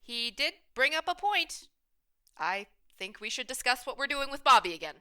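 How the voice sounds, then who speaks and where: Woman playing a bossy, very bright-voiced manager. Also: high-pitched, big pitch swings, moderate pace, clean tone, full character voice. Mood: firm. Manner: blunt, assertive. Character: bossy, hard-nosed woman restaurant manager. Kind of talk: radio play acting.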